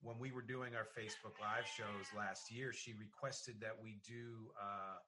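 A man hosting a podcast interview in a conversational way, reflective and halting as he thinks aloud. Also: lowish voice, steady tone, background noise. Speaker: podcast host.

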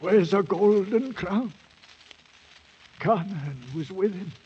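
An actor playing a broken ex-soldier who rambles through memories in a dazed, halting rustic British way, in 1940s radio drama style. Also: male voice, high voice. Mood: dazed, wistful. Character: broken, rambling ex-soldier adventurer recalling lost glory. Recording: muffled old radio recording, faint noise.

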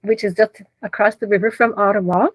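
A woman in her sixties from Canada whose first language is French, calmly explaining as an interview guest. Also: medium pitch, fairly quick, no room sound. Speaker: guest, retired speech pathologist. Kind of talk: interview answers.

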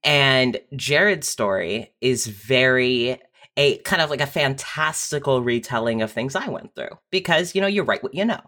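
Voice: mid-range voice, animated, normal speed, sharp tone. A podcast guest who writes audio fiction, chatting casually and candidly in an informal, self-deprecating way. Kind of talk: casual personal chat.

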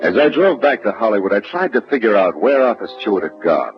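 An American actor playing a tough, wisecracking private detective in a 1940s radio drama, sardonic and hard-boiled. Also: male voice, fairly low pitch, sing-song, fairly quick. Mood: wry narrating. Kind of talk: 1940s radio detective acting and first-person narration. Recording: dull vintage radio recording, music behind.

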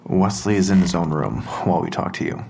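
An actor playing a measured, formal police detective in an audio drama. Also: male voice, low voice, expressive, vocal fry, darkish tone, a little hiss or hum. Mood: calm, official.

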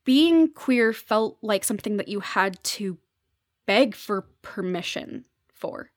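A woman's voice: mid-range voice, fairly bright tone, dry recording. Thoughtful woman explaining, in a dry recording. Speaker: podcast guest, journalist. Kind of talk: conversational podcast commentary.

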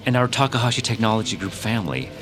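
An actor playing a self-important, manipulative tech CEO, smoothly condescending with theatrical pauses, in an audio drama. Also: male voice, lowish voice, big pitch swings, music in the background. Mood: quietly menacing.

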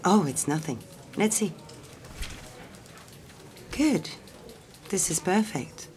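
An actress playing a polite young office secretary in an audio drama, who also narrates in the first person. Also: female voice, fairly low pitch, animated, slow pace, darkish tone, a little hiss or hum. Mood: bright, attentive. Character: polite, fond French office secretary, engaged young woman. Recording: music behind the voice.

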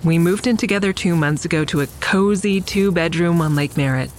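A woman's voice: lowish voice, a little fast, a little mellow, a little hiss or hum, natural voice. An actress playing a curious young woman who narrates her story in an intimate, wry way in an audio drama. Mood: confiding. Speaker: narrator and lead character. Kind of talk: audio drama first-person narration and natural dialogue. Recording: faint music behind the voice.

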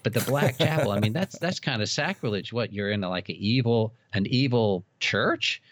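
A man's voice: fairly low pitch, lively intonation, normal speed, no echo. A man speaking as a podcast guest, chatting casually and knowledgeably like an expert on his subject. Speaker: podcast guest, old-time radio horror expert. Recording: dull lo-fi, dry sound.

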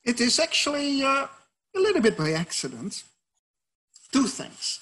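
A man's voice: very high-pitched, big pitch swings, unhurried, a bit bright. A middle-aged man from the Netherlands with a Dutch accent, a professor answering interview questions in a thoughtful, explanatory way. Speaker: professor and researcher.

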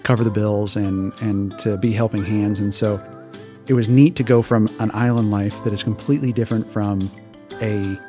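A man from Hawaii, a podcast guest telling relaxed, casual stories and laughing easily. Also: fairly low pitch, normal speed, soft background music. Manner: relaxed, laughs often, restarts words. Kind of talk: casual interview storytelling.

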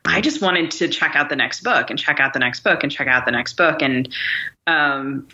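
Female interview host, low-pitched for a woman, bright voice, reflective and conversational, long thoughts. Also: normal speed, highs cut off. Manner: thoughtful, long winding sentences. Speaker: podcast host.

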